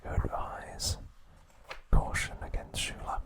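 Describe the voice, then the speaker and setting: Man, breathy whisper, very slow pace, ASMR narration, soothing and hushed. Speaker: ASMR host.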